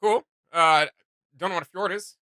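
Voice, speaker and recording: Very bright-voiced man reacting casually. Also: high voice, moderate pace, no room sound, studio quality. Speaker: reaction show co-host.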